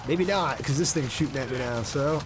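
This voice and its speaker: A man's voice: mid-range voice, fairly quick, noisy background. A man doing casual live gameplay commentary and chatting with viewers in a laid-back, slangy way. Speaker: game streamer.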